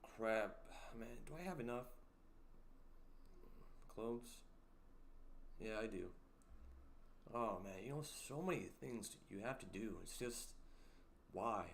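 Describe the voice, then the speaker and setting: A young man hosting a casual livestream, reading chat aloud and joking around, with a deep voice. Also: lively intonation, takes it slowly, long pauses, a little hiss or hum. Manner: playful, jokey, sings snippets and reads chat aloud. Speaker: livestream host.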